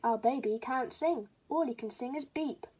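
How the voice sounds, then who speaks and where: A woman's voice: high voice, normal speed, darkish tone, slightly rough, poor audio. A woman voicing an anxious, dramatic father crow in a storybook, exclaiming over his young son. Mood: dismayed, pleading. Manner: exclaiming, pleading.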